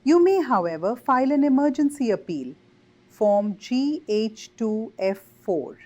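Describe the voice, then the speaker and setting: Woman acting an officious magical clerk, fairly high voice, lively intonation, crisp, unflappable, politely obstructive, audio drama. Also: a little slow, muffled recording. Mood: officious, unruffled. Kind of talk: scripted character dialogue in audio story.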